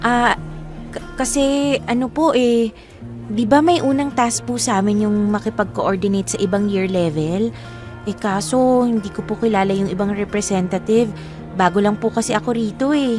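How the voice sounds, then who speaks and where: A woman's voice: medium pitch, moderate pace, over music, close to an everyday voice. A woman playing a shy, polite young female college newcomer in a radio drama, hesitant and respectful. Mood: shy, grateful. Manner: hesitant, respectful, soft-mannered. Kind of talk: Filipino radio drama acting.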